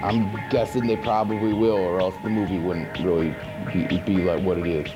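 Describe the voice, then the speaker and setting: A man's voice: low voice, steady tone, normal speed, lo-fi sound, over music, lightly acted. A man playing a goofy monster who hosts a horror movie show, rambling in slangy, exasperated comic commentary. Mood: riled, rambling. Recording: noisy 1980s VHS TV tape.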